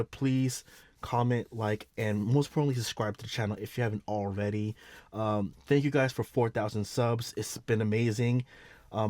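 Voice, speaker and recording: A man's voice: on the low side, darkish tone, no echo. A man with a bit of a nasal, sick-sounding voice chatting casually to his viewers in a vlog intro, upbeat and full of filler words, speaking into a small wireless mic. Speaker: photography vlogger. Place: indoors at home. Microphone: small wireless mic clipped to his hat.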